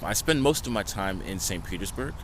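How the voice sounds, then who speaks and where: American guy w a really low voice, upbeat and sure of himself. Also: big pitch swings, brisk, background noise. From United States. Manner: confident, enthusiastic. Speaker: interviewee, trader. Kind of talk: casual street interview answers. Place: outdoors on a city street.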